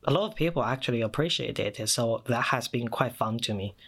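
A man in his thirties from China, Mandarin native, with a Chinese accent, telling personal stories as a podcast guest with many pauses and fillers. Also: medium pitch, lively intonation, fairly bright tone, slightly rough. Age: 30s. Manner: thoughtful, many "uh" fillers and restarts. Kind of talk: personal storytelling.

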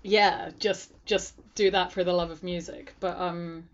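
Guest, woman from Greece, fairly low voice, reflective, muffled lo-fi. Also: fairly even intonation, measured pace. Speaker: musician guest. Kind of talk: casual chat.

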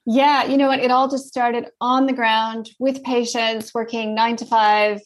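Middle-aged Canadian woman, podcast guest expert, measured explainer. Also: fairly high pitch, level tone. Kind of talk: podcast interview.

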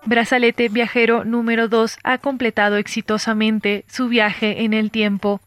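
A woman playing the polite, measured corporate female assistant of a time-travel bracelet in a scripted audio drama. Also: fairly high pitch, flat delivery, music in the background, close to an everyday voice. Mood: formal, automated. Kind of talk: scripted automated announcements.

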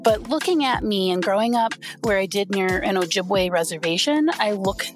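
A woman's voice: medium pitch, animated, normal speed, faint music behind. A woman from Wisconsin, a guest educator speaking candidly and reflectively in an interview. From Wisconsin, USA. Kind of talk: conversational interview.

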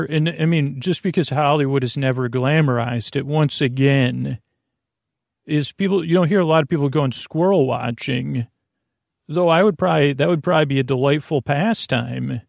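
A man with a creaky voice hosting a podcast in a meandering, halting monologue, often wandering off on tangents and interrupting himself. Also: on the high side, a little mellow, no room sound, clean studio sound. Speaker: podcast host. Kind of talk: rambling bedtime podcast monologue.

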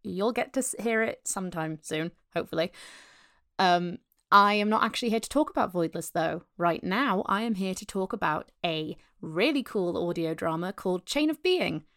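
A woman's voice: on the low side, lively intonation, moderate pace, fairly bright tone, dry recording, studio quality. A woman giving a casual, enthusiastic podcast promo in an informal, chatty way. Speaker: podcast promoter, voice actor and director.

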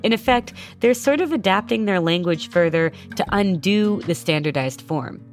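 A woman host narrating a scripted story and interviewing a guest, with a measured explanatory delivery. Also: medium pitch, expressive, faint music behind.

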